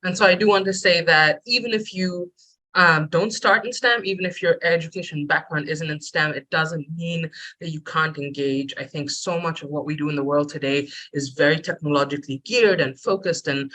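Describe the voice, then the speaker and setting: A woman's voice: deep voice, bright, forceful tone, low-quality recording. A woman in her late thirties, a program director, giving an earnest webinar talk over a video call with frequent ums and restarts. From Bangladesh.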